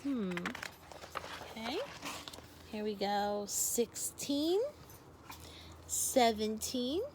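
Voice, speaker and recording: A woman's voice: fairly high pitch, very slow, background noise. A woman teacher speaking expressively to young children in a story read-aloud with casual asides. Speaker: first grade teacher.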